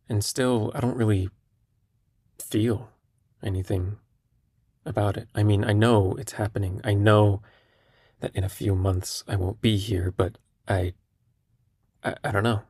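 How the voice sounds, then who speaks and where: Husky, low-voiced young man, acted, quietly hesitant. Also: steady tone, no echo, studio quality. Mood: numb, resigned. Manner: hesitant, halting, understated. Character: young terminally ill male hospital patient, numb, gently self-deprecating. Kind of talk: intimate audio drama acting.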